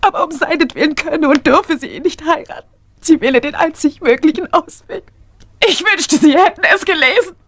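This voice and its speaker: A woman playing a bitter, grieving young woman in a radio crime drama, speaking intensely and haltingly with a breathy voice. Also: high-pitched, a bit bright, no room sound. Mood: pleading, anguished. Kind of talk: emotional radio drama monologue.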